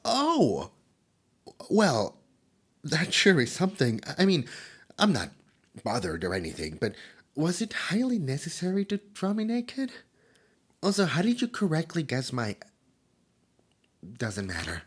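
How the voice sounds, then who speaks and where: A man's voice: on the high side, huge pitch swings, unhurried, long pauses, husky, full character voice. A man voicing a nervous, lonely demon host who stammers and giggles through an audio roleplay. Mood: excited, chatty.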